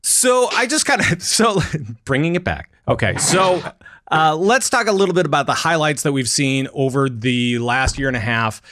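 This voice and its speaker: A man hosting a podcast, chatting casually in a halting way with many fillers. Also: fairly high pitch, expressive, fairly bright tone, dry recording, studio quality. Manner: halting, lots of fillers and laughter. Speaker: podcast host.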